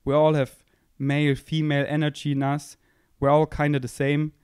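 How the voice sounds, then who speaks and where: A man's voice: a little high, monotone, normal speed, darkish tone, smooth, dry recording. A young man hosting a podcast, rambling in a playful, self-mocking way as he thinks aloud, seated indoors and speaking into a handheld microphone held close. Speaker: podcast host. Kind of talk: casual rambling monologue. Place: indoor room, seated on a couch.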